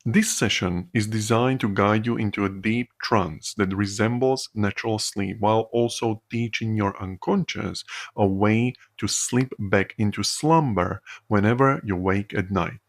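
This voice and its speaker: A man narrating a guided sleep hypnosis session as a hypnotherapist, soothing and measured, with frequent pauses between phrases. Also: lowish voice. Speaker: hypnotherapist guiding a recorded session.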